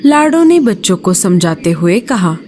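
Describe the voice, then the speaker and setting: A woman narrating a cartoon story in an expressive storytelling manner. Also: mid-range voice, measured pace, slight background noise.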